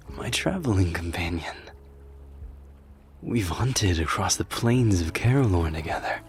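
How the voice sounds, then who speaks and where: A man playing a brooding, loyal former general of a beast folk in a pensive ASMR roleplay monologue, often dropping to a breathy murmur. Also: low-pitched, heavily acted. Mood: proud, earnest. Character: brooding male wolf warrior, former general, troubled and loyal.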